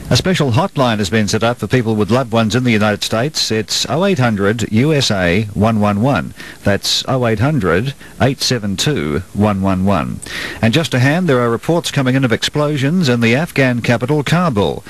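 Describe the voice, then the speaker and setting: A man's voice: mid-range voice, lively intonation, normal speed, low-quality recording. A man with a New Zealand accent reads a scripted radio news bulletin as the newsreader.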